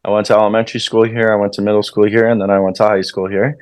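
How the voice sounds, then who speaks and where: A man's voice: on the low side, level tone, racing, darkish tone, clear, resonant tone, no room sound. Casual, hesitant young man. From United States. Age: young adult. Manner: casual, filler-heavy, hesitant. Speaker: guest, club president. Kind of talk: interview self-introduction.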